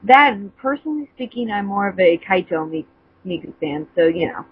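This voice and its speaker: A woman's voice: mid-range voice, expressive, normal speed, phone-quality sound, slight background noise. A woman giving a casual review as a co-reader, hesitantly searching for words.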